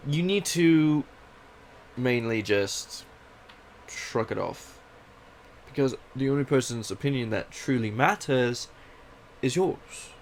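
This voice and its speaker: A young man from Canada talks in a candid, rambling way, his accent drifting between British and Canadian. His voice carries a gravelly, fry-edged texture, and a faint ambient hiss sits behind him. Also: mid-range voice, lively intonation, slow pace. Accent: British mixed with Canadian. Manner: candid, rambling, self-deprecating. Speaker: podcast host. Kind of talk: casual personal monologue.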